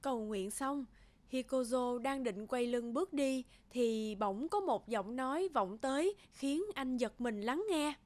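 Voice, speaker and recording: A woman's voice: a little high, lively intonation, measured pace, no echo. A woman narrating a scripted children's folktale as a storyteller. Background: background music.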